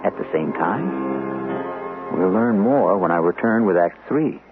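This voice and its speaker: A man hosting and narrating a radio mystery, with deliberate, theatrical, rhetorical scripted delivery. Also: deep voice, sing-song. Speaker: host and narrator. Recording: tinny old radio broadcast. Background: music.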